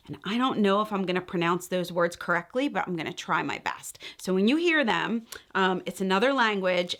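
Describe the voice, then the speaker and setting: A woman's voice: on the low side, fairly bright tone. A woman hosting a children's read-aloud, reading a picture book and explaining things simply and carefully for young listeners.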